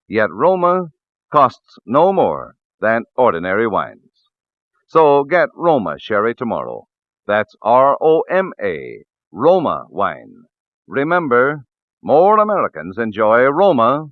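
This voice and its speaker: Polished 1940s male commercial announcer, animated mid-range voice, thin old-radio sound. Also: mid-range voice, no room sound. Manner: polished, smooth salesmanship. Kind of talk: 1940s radio sponsor advertisement. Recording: tinny narrow-band 1940s radio recording.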